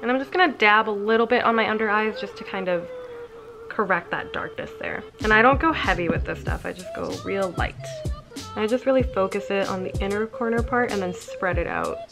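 A woman's voice: medium pitch, brisk, gravelly, music in the background. A young woman with a cold, sounding slightly congested and nasal, chatting casually through a makeup routine at home. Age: young adult. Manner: chatty, walks through each step. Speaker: beauty vlogger. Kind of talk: casual makeup tutorial talk. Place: living room at home.

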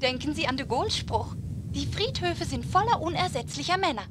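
A gentle, well-read young woman on the radio, soft and thoughtful. Her voice sits high and moves lively up and down, over a clear noise bed. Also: fairly quick. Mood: earnest. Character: young female radio support, gentle and bookish. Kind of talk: German game dub. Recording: game audio with background noise.

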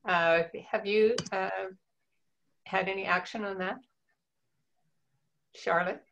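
A woman taking part in an online meeting discussion, with hesitant, pausing phrasing. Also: mid-range voice, steady tone, fairly bright tone, highs cut off, slight background noise. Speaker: meeting participant asking questions. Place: indoors on a group video call.

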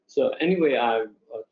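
Slow, hesitant older man on video call. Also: medium pitch. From Malaysia. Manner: hesitant, laughing, self-deprecating. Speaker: panelist, interpreter. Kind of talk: casual self-introduction on a video call. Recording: lo-fi echoey video call audio.

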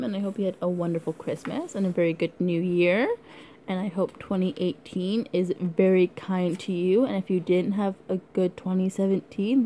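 A young woman from Canada chatting casually through an unboxing video, rambling and giggly, her voice hoarse from being sick. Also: mid-range voice, warm tone, a little hiss or hum. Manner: rambling, giggly, lots of likes and ums. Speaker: vlogger.

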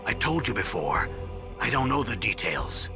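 An actor playing an older military colonel in a video game, grave, formal and measured, guarded in what he says. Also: fairly low pitch, very animated, over music. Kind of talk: video game radio briefing acting.

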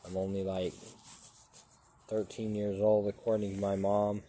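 A man talking into a phone at arm's length outdoors under a tree, rambling and repeating himself with halting restarts. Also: fairly low pitch, monotone, dark tone. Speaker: vlogger. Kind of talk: rambling selfie plea to camera. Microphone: phone held at arm's length.

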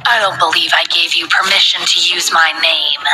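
A woman voicing an icy, stoic company president who speaks in a low, clipped, contemptuous tone. The voice stays bright and hard, with faint music under the audiobook reading. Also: steady tone, normal speed, poor audio. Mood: cold. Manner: cold, clipped.

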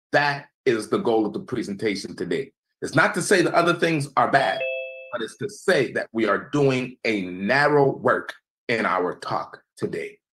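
Lively-pitched young man presenting a seminar. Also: low-quality recording. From United States. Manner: measured, preacherly, emphatic, drops pitch and volume at phrase ends. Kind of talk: presentation lecture with slides.

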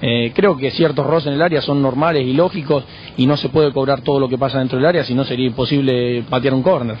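Argentine footballer, calm halting answers, dull radio sound with light hiss. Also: male voice, mid-range voice. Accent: Argentine Spanish. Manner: measured, frequent hesitations and restarts. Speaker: footballer guest. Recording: muffled radio broadcast with faint noise.

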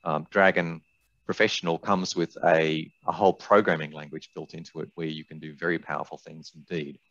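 An older man presenting a webinar in a measured, explanatory way, as an expert walking through software. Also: rumbling low, little pitch movement. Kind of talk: webinar presentation with product demonstration. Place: indoors, small room on a video call. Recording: dull, roomy webinar audio.

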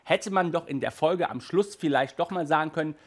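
A man in his thirties giving a chatty, animated video review from a small room, speaking into a headset mic. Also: fairly high pitch, brisk. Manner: chatty and animated, gestures with hands. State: hot and sweaty in a stuffy room. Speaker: audio drama reviewer. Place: small room with toy shelves, indoors.

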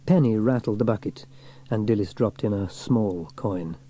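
Male children's audiobook narrator: expressive, husky, very mellow delivery with lively pitch movement. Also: normal speed. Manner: expressive storytelling. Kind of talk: scripted children's story narration.

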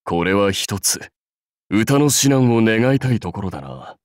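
Samurai warlord, game role: commanding, dignified, archaic diction, unhurried delivery, slightly low register. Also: male voice, very animated, a little mellow, dry recording, clean studio sound. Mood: measured, bemused. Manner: stately, archaic lordly speech. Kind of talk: Japanese mobile game character voice lines.

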